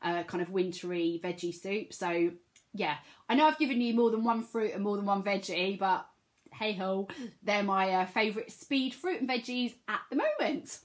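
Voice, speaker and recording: A woman with a croaky voice from a cold, chatting casually to camera and filling pauses with lots of ums. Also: medium pitch, expressive. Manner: chatty, many ums, rambling. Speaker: vlogger.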